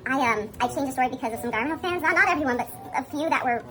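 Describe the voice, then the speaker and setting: A woman's voice: high voice, expressive, racing, sharp tone, soft background music. A woman who makes a story series, giving a personal account in plain talk. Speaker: series creator. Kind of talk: personal account of past events.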